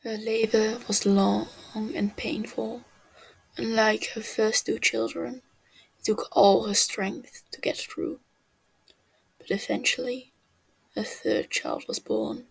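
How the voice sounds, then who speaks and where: A woman's voice: a little high, little pitch movement, takes it slowly, gravelly, slightly rough, low-quality recording. A woman reading a scripted fantasy tale as a podfic narrator.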